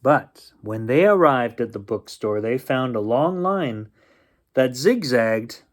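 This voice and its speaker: Animated man reading a bedtime story. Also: mid-range voice, slow pace. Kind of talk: expressive children's picture-book reading.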